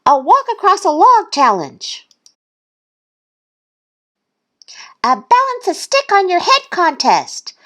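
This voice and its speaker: Squeaky, boastful little girl duck. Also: slow, full character voice. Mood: competitive, bossy. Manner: shouting, bragging, excitable. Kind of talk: children's storybook character voice.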